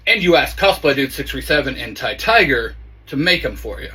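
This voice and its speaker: A man voicing a stern male comic-book superhero in a scripted intro, with a husky, raspy voice. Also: mid-range voice, sing-song, a little fast, bright, forceful tone, heavily acted. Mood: wry, challenging.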